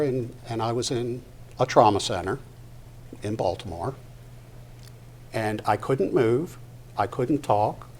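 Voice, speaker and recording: An older man giving a personal testimony to an audience at a live event, halting and deliberate, pausing mid-sentence. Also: mid-range voice, darkish tone. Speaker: guest speaker at a patient discussion event. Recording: noticeable background noise, live event.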